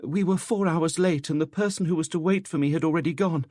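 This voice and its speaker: A man's voice: a little high, quick pace, dark tone, no room sound, studio quality, voice pushed up, natural voice. A man voicing a frightened young woman new to the city, stammering and pleading tearfully in an audiobook. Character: frightened, sobbing young woman, timid newcomer to Paris.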